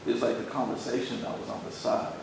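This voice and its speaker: Man, colloquial, probing questions, distant mic, clear background noise. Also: mid-range voice, fairly even intonation, fast talker. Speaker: audience member asking questions. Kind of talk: casual question in a discussion. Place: large echoey indoor arts venue. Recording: very echoey room, distant mic, noisy.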